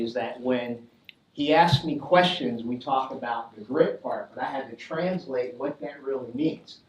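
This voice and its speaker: A man hosting a college business event, speaking casually and joking with the students. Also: a little high. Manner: upbeat, joking with the audience. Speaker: college faculty host. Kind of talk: casual introductory speech. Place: lecture hall. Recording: roomy hall, picked up from a distance.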